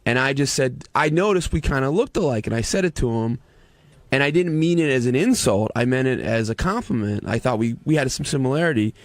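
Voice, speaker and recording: A man's voice: mid-range voice, animated, fairly quick, dry recording. Man chatting in a dry studio. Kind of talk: casual chat.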